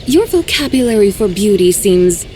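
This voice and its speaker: A woman voices a serene immortal woman in a video game. She speaks eloquently and formally at a medium pitch, with measured, poetic phrasing over faint music. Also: expressive, mellow, close to an everyday voice. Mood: composed, frank.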